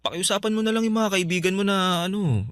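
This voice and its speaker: Fast-talking, coaxing Filipino boyfriend. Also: male voice, high voice, a bit bright, clear, resonant tone, dry recording, studio quality. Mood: pleading. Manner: coaxing, insistent. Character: pleading, self-serving live-in boyfriend and father. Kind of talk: Tagalog radio drama acting.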